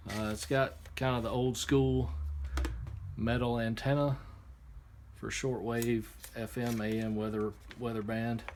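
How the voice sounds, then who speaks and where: Male product reviewer, mid-pitched, casual and halting, step-by-step explainer, noisy workshop recording. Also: level tone, a little mellow. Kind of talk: casual hands-on unboxing and demonstration. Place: indoor workshop. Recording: clear background noise, room ambience.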